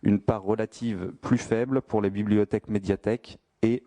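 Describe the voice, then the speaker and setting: Man, low relaxed voice, measured delivery, formal presentation of statistics, explanatory. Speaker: presenter of a study.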